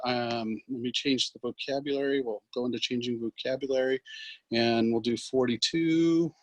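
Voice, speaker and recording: Guy doing a software walkthrough, bit husky, halting explainer style, dry sound. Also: mid-range voice, dry recording, poor audio. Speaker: software trainer. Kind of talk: instructional screen demonstration, loosely scripted.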